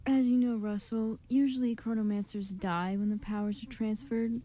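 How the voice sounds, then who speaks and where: A woman's voice: on the high side, steady tone, normal speed, warm tone, natural voice. Woman as a haughty, menacing young council man. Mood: cold probing. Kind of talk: amateur radio drama reading.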